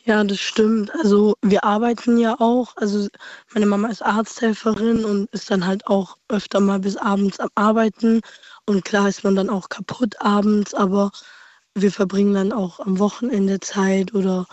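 Hoarse young woman calling in by phone. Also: normal speed, no echo, highs cut off. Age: 20s. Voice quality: low, calm hoarse voice. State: hoarse with a cold. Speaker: caller. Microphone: phone line.

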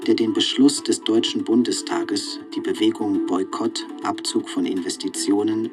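An actor playing a formal chronicler, reading factual documentary text in a radio play. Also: male voice, lowish voice, steady tone, moderate pace, lightly acted. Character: formal male chronicler reading dated events. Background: music.

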